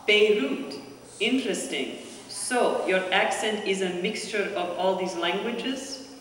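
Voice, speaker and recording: A woman's voice: medium pitch, slow, distant mic. A woman asking curious, probing questions as an interviewer. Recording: echoey gallery playback heard from afar.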